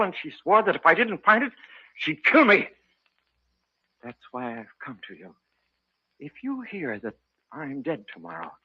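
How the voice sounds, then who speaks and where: An actor playing a frightened, haunted man in an old-time radio drama, speaking in an anxious, halting, melodramatic way. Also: sits high. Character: frightened, haunted unmarried man carrying a cursed doll. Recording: muffled old radio broadcast recording.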